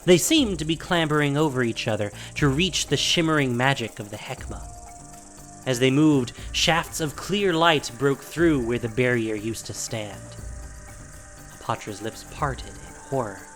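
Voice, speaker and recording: A man's voice: mid-range voice, unhurried, fairly bright tone, faint music behind. A man reading scripted dramatic fantasy narration as the storyteller of an audio drama.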